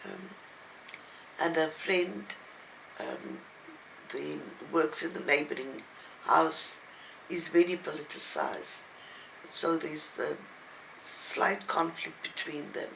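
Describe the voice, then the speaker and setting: Older woman, slow halting delivery, gravelly creak, reflective interview talk, faint background noise. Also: low voice, big pitch swings, a bit bright, poor audio. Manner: halting, thoughtful, with long pauses. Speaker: novelist and playwright being interviewed. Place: at home, indoors.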